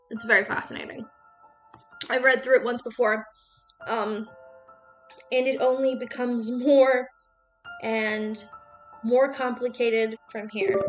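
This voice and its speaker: A woman's voice: fairly high pitch, low-quality recording. A woman streamer talking casually and chattily straight to her viewers. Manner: chatty, addressing viewers directly. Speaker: streamer hosting a study-with-me chat stream. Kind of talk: casual livestream chat. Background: music.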